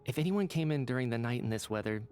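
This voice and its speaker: A man acting calm, reasoning game character, medium pitch, articulate, soft music behind. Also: fast, close to an everyday voice.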